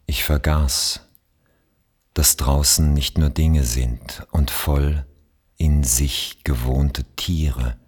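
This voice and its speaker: Very deep, husky man reciting poetry slowly. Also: darkish tone. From Austria. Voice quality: husky, breathy. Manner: measured, with long pauses between phrases. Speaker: speaker reading poetry. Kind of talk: slow literary poetry recitation.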